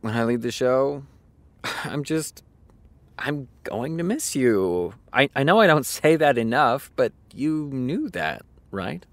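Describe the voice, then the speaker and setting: An actress playing an anxious, obsessive TV showrunner in naturalistic audio drama, with a muttering, stammering delivery. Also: female voice, very deep voice, big pitch swings, slow pace, dry recording, heavily acted. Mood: distracted, wistful. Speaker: actor.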